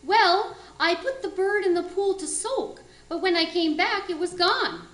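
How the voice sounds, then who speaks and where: A woman's voice: very high voice, lively intonation, unhurried, a bit bright, full character voice. A woman playing a chatty, oblivious auntie with a folksy Minnesotan accent in a comic radio play, pleased with her own jokes. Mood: chirpy, oblivious. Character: folksy, chirpy, oblivious auntie giving silly turkey advice. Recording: echoey room.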